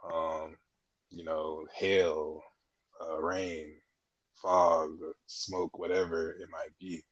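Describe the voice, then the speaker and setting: A young man with a very low, husky voice explains things casually as an interview guest. His delivery stays fairly flat and conversational, and the audio is dull and muffled. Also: extremely slow. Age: 20s. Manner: explanatory, conversational, frequent "you know". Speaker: podcast guest, air traffic controller trainee.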